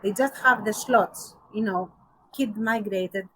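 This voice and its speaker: A woman's voice: mid-range voice, slow pace, pushed and bright, slightly rough, rough recording. A woman co-hosting a podcast, casually giving her opinions and repeating words as she forms her thoughts. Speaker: podcast co-host.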